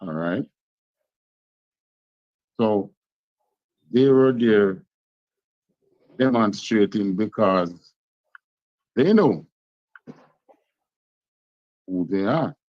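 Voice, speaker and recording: A Jamaican man with a fairly low voice lectures his class with conviction, circling back over his own words as he builds each point. The sound is muffled and lo-fi, with no room echo. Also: expressive, drawn-out words, long pauses. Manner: emphatic, repeats words while driving points home. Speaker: teacher. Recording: dull, muffled, dry sound.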